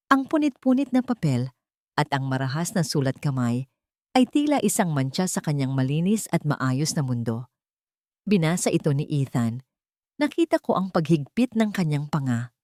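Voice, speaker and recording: A woman narrating a dramatic first-person story in a solemn, deliberate storytelling manner. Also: sits low, a little mellow, no room sound, studio quality.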